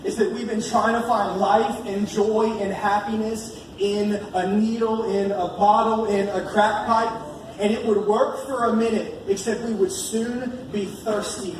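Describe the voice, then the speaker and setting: A fairly high-voiced American man delivers a colloquial evangelistic sermon, leaning on repeated phrases for emphasis. His voice comes through a heavily echoing room, picked up from a distance. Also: fairly even intonation, unhurried. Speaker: preacher. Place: large echoey room at a live church gathering. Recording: echoey room from far off, noisy.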